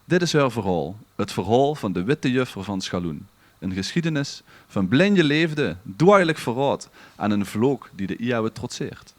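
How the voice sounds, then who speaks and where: A man's voice: mid-range voice, big pitch swings, normal speed, hardly any pauses. A man with a Limburgish Dutch accent telling a scripted story in a theatrical way as a podcast co-host. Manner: dramatic, bantering with co-hosts.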